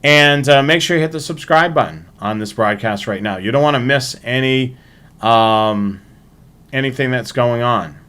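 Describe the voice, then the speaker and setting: A man hosting a livestream, talking informally through announcements in a halting way and repeating himself. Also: medium pitch, a bit bright, clean tone. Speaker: livestream host. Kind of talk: casual livestream sign-off and announcements.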